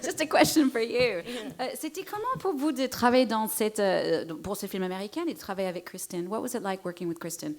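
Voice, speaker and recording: A woman acts as moderator and interpreter at a press conference. She relays answers in the third person, hopping between French and English, in a voice of ordinary middle pitch. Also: animated, fairly quick, hardly any pauses.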